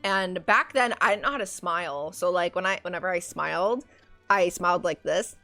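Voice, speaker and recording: A woman's voice: lowish voice, moderate pace, pushed and bright, faint music behind. A woman streamer with a nasal voice chatting casually while playing, rambling with lots of fillers. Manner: rambling, many fillers, cackling laugh. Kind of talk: casual chat while gaming.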